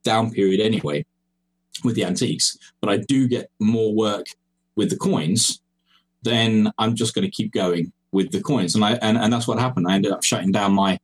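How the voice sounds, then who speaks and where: A man's voice: fairly low pitch, normal speed, slightly rough, low-quality recording. A man in his forties with an English accent, a podcast guest chatting casually and hesitantly, with many fillers and restarts. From England, raised in Cyprus. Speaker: podcast guest, geocoin designer. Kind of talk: casual chat over a call.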